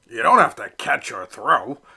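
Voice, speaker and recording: Brief: man playing a blustery dad duck who hollers from the sidelines, bright and animated. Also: mid-range voice, unhurried, creaky, husky, full character voice. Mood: exasperated. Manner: loud and insistent. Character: gruff, sports-loving father duck.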